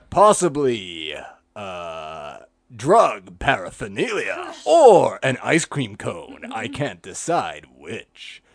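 A man narrating an amateur comic dub, reading dramatically with deadpan asides. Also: mid-range voice, extremely slow, no echo. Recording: music behind the voice.

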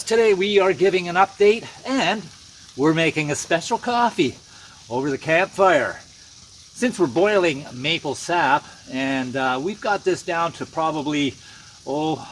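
A man's voice: a little high, a bit bright, a little hiss or hum, poor audio. An older man hosting a casual outdoor vlog, easygoing and chatty, saying "eh" now and then. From Canada. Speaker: channel host. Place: outdoors at a night campfire in the woods.

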